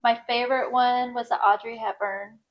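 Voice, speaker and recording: A woman in her thirties with a naturally high-pitched voice, chatting as an interview guest about modeling and often laughing. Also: steady tone, moderate pace, dull audio. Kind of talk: casual conversational interview.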